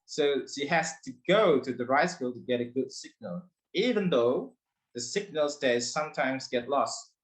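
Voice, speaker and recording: A man presenting research at an academic forum, pausing often with fillers and restarting his sentences. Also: medium pitch, lively intonation, rough recording. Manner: hesitant, many fillers and restarts.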